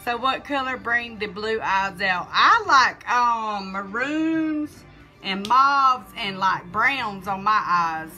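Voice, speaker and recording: A woman's voice: a little high, animated, slow pace, sharp tone, faint music behind. A young woman hosting a livestream makeup demo, chatty and giggly as she greets viewers by name, on a close phone mic in a small room. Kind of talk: casual live makeup demo. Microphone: phone held close.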